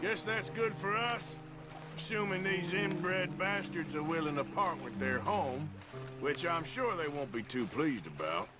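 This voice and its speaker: Slow-talking, weary western outlaw. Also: male voice, fairly high pitch, lively intonation. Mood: wry. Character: weary, wry male outlaw gunman in the Old West. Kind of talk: video game cutscene acting. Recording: loud music behind the voice.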